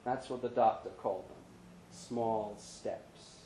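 A man giving a measured, dramatic live reading of a literary short story. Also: on the low side, fairly even intonation, mellow. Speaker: story reader. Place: pub event room, live reading. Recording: echoey pub room, noticeable background noise.

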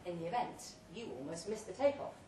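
A woman reads a short story aloud at a live event, delivering it theatrically in measured, deliberate phrases. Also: on the low side, expressive, dark tone. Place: pub event room, read aloud live. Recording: roomy, distant live recording with faint noise.

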